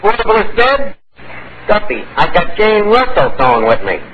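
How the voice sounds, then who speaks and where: An actor playing a bumbling, excitable tavern manager with mangled grammar in a 1940s radio comedy. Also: male voice, sits high, moderate pace, lo-fi sound. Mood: excited, boastful. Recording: very noisy old radio recording.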